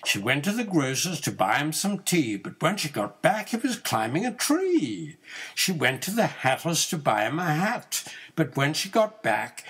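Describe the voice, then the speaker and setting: An older man reciting nursery rhymes as a grandfather would, in a rhythmic, measured singsong with drawn-out line endings. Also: fairly high pitch, fairly bright tone, breathy edge.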